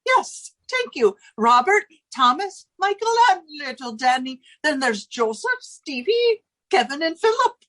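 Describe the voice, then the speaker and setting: Shrill-high chatty Irish landlady. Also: very animated, a little slow, fairly bright tone, heavily acted. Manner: garrulous, folksy. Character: chatty, easily sidetracked Irish landlady, demanding but soft-hearted. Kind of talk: 1940s radio comedy acting.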